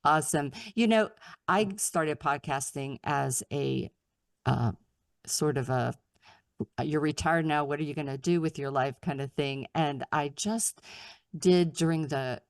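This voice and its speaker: Older female podcast host, low and gravelly, encouraging tone. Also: measured pace, dry recording, clean studio sound. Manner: upbeat, encouraging. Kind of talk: casual interview talk.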